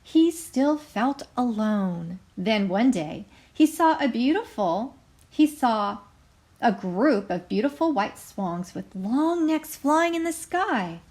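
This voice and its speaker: A woman teacher reading a story aloud to young children, expressive and carefully enunciated, pausing to explain. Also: fairly high pitch.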